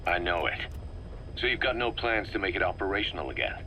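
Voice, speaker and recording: An actor playing a serious military second-in-command in a video game, giving matter-of-fact explanatory briefings. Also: male voice, low voice, expressive, fairly quick, pushed and bright, slight background noise, poor audio. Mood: businesslike. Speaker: military deputy briefing his boss.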